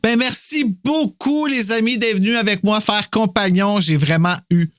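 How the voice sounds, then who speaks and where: Higher-pitched Quebec man, dry close sound. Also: normal speed, fairly bright tone, dry recording. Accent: Quebec French. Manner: colloquial, informal. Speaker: podcast host. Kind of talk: casual after-show chat.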